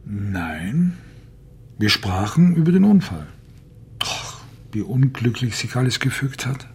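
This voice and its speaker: A man's voice: mid-range voice, sing-song, takes it slowly, a little mellow, slightly rough, full character voice. An actor playing a desperate, hard-drinking father searching for his missing child, urgent and brooding, in a radio drama. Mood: defensive, rattled. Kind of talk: German radio drama acting.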